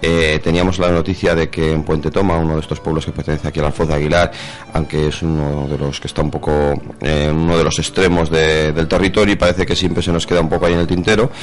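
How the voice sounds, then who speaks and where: A deep-voiced man co-hosts a radio chat. His delivery is flat and hesitant, feeling its way through long sentences. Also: fast, faint music behind. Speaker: radio section co-host. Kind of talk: unscripted radio conversation. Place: radio studio.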